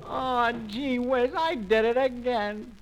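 A man's voice: very high voice, slow pace, over loud music, pitched above the performer's own voice, heavily acted, rough recording. A man voicing a small, hapless boy, meek and self-pitying, with a folksy, easily discouraged way of talking in a children's story. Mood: dejected.

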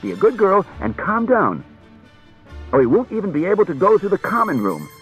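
A man's voice: sits high, wildly swooping pitch, brisk, fairly bright tone, faint music behind, pitched above the performer's own voice, exaggerated voice. A man voicing an anxious, harried detective and husband, speaking with urgent strain in an audiobook. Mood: impatient, soothing.

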